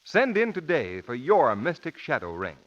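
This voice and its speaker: A man working as a radio announcer, delivering a dramatic 1940s advertising pitch. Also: huge pitch swings, normal speed. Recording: muffled old 1940s radio recording.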